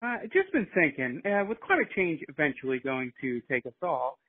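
A man's voice: on the high side, big pitch swings, fairly quick, slightly rough. A man from the United States leaving a casual voicemail question as a caller. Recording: dull, lo-fi phone voicemail.

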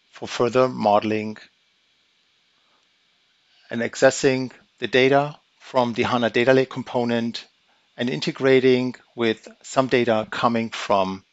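A man giving a methodical, step-by-step walkthrough of a software demo, explaining each action as he goes. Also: mid-range voice, no echo. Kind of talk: screen-recorded software walkthrough. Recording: dry, slightly dull audio.

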